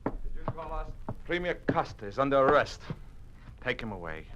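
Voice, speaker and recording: An actor playing a cold, humorless Soviet marshal in an old-time radio drama, giving orders in an imperious, formal, clipped manner. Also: male voice, mid-range voice, big pitch swings, normal speed, highs cut off, noisy background, close to an everyday voice. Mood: cold threat.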